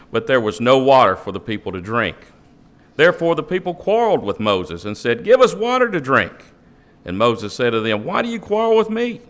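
A man reads a long passage of scripture aloud to a congregation. His delivery is steady and measured, and his pitch sits in the middle range. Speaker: scripture reader. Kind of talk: reading scripture aloud in church. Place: church.

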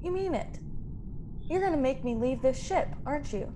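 An actress playing a frightened young woman stowaway in a science fiction radio drama, halting and emotional. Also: high-pitched, animated, noisy background. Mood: panicked, pleading. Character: frightened young female stowaway, pleading, naive and wistful. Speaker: actor in a radio play.

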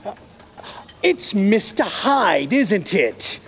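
Creaky, high-voiced old gentleman. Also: sing-song, very slow, faint music behind, exaggerated voice. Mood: worried. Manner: polite, hesitant, pleading. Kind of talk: audio drama acting.

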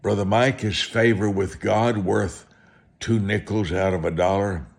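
A man preaching in a personal testimony style, folksy and deliberate, repeating phrases for emphasis. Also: on the low side, fairly even intonation, creaky. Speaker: preacher, evangelist.

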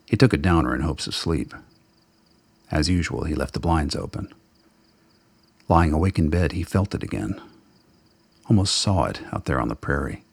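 A man narrates a radio play in an extremely deep voice. It is full of gravel and husk. The delivery is scripted, dramatic storytelling. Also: lively intonation, moderate pace, pauses often, no echo.

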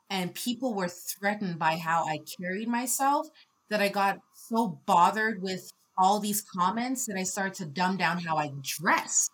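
A woman in her thirties speaking as a podcast guest in casual conversation, expressive and shifting between soft reflection and loud laughing energy. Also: mid-range voice, a little hiss or hum, low-quality recording. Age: 30s.

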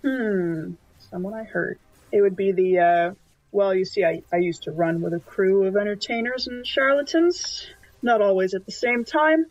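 A woman's voice: mid-range voice, moderate pace, no room sound. Female roleplaying player, casual and hesitant, mid register, dull dry sound. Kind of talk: casual in-game description and table chat.

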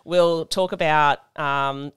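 A woman's voice: deep voice, steady tone, slow pace, fairly bright tone, smooth, dry recording, studio quality. A woman co-hosting a podcast, chatting casually and steering the talk with short agreements. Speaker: podcast co-host. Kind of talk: casual chat.

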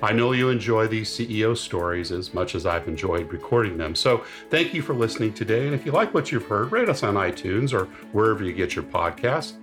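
A man hosting a business podcast, reading a deliberate intro and then chatting casually with fillers. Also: lowish voice, expressive, a bit bright, faint music behind. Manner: slow and deliberate in the intro, chatty with "you know" and "uh" in the interview. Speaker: podcast host.

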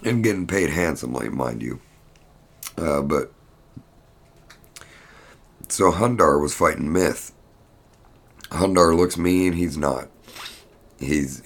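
Casual male podcaster, blunt and rambling, very deep voice, unhurried delivery. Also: creaky. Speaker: podcast host. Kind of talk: casual fight recap.

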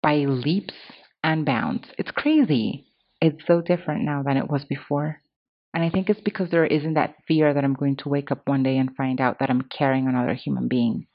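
A woman's voice: extremely low pitch, moderate pace, highs cut off. A woman in her thirties hosting a podcast, reading scripted commentary in a candid, conversational way. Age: 30s. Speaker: podcast host.

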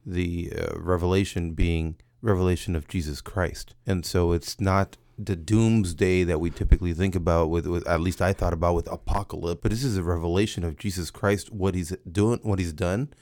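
A man co-hosting a casual Bible study talk, earnest and halting, often repeating words. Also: sits low, fairly even intonation, a little mellow, no room sound, studio quality. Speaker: podcast co-host.

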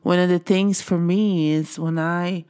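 A woman's voice: fairly low pitch, fairly even intonation, measured pace, darkish tone, smooth. A middle-aged woman from Brazil with a Brazilian Portuguese accent, giving an earnest motivational talk to viewers and repeating phrases for emphasis. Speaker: motivational speaker. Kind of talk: encouraging monologue to viewers.

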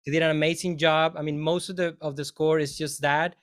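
A man speaks as a film composer on a discussion panel. His voice is smooth and resonant, pitched a little high for a man, and he meanders through his answers with lots of fillers and restarts. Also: little pitch movement, brisk, a bit bright. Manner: rambling, many fillers and restarts. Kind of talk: casual panel talk.

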